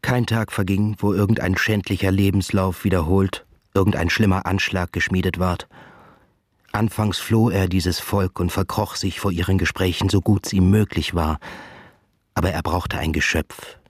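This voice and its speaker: A man with a raspy voice giving formal, deliberate literary narration in a dramatic radio play. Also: low voice, steady tone, no room sound. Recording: studio radio play with music underneath.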